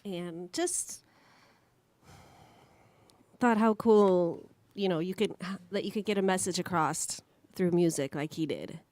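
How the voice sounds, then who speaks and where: A woman musician, a guest on a radio show, chatting casually and soft-spoken. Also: fairly low pitch, slow, long pauses, no echo. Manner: soft-spoken, laughs easily, brief replies. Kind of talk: casual radio interview chat. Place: radio studio.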